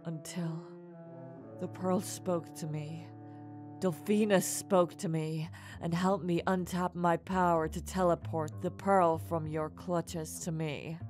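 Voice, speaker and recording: A woman's voice: on the low side, fairly even intonation, slow pace, darkish tone. A woman voicing a remorseful mad scientist mother in a dramatic comic dub, speaking formally and theatrically. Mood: grave, explaining. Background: faint music.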